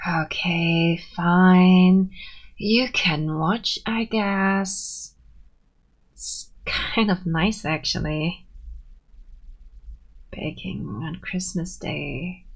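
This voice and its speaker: A woman's voice: lowish voice, gravelly, full character voice. A woman playing a tsundere friend in an ASMR roleplay, brusque and defensive but secretly sweet. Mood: soft, wistful. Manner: brusque, defensive, softening into shy warmth. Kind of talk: ASMR roleplay, scripted monologue to listener.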